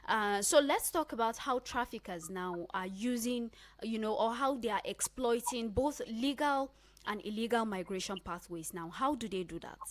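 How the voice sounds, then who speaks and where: A woman's voice: a little high, moderate pace, a little hiss or hum. Nigerian woman hosting a radio interview. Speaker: radio host interviewing a guest.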